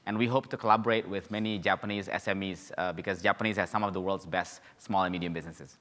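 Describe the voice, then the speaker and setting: A man's voice: deep voice, fairly even intonation, a bit bright. A man from Indonesia giving a fluent self-introduction as a panelist at a forum, in a conference hall. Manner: fluent, brisk and organized. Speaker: panelist, company founder. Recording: long hall reverb.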